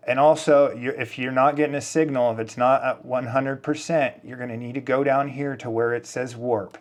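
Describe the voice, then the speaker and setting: An American man giving an informal, unscripted step-by-step software tutorial in a casual, instructional way. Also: medium pitch. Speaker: tutorial presenter.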